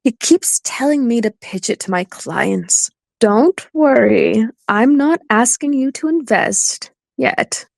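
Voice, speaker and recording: Woman playing a slow, creaky-voiced lawyer. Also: a little high, expressive, dry recording, full character voice. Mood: chatty. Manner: measured, firm, advisory. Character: composed, pragmatic female divorce attorney, reassuring. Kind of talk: community theatre audio play acting.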